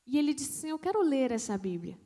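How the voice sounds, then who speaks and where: A woman missionary, telling a testimony in a sermon, with dramatic pauses and hushed emphasis. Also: high voice, sing-song. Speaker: missionary preacher.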